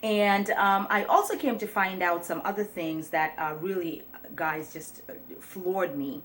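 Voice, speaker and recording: Earnest low-voiced woman from Kenya. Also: unhurried, fairly bright tone, a little hiss or hum. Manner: earnest, reflective, many ums and restarts. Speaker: vlogger. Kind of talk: casual vlog talk to camera.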